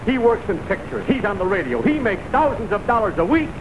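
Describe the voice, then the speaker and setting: A touchy ranch foreman with a very high, swooping voice. He badgers sarcastically like someone always wronged, in forties radio comedy style, on a noisy old recording. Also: big pitch swings, brisk. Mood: sarcastic. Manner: badgering, aggrieved, twisting words. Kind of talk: 1940s radio comedy acting.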